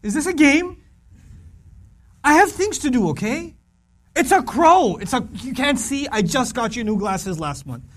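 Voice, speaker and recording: A man voicing an impatient, irritated grown son in his thirties, snapping loudly at his elderly father. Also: sing-song, unhurried, exaggerated voice. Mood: exasperated yelling. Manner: high, loud, irritated yelling.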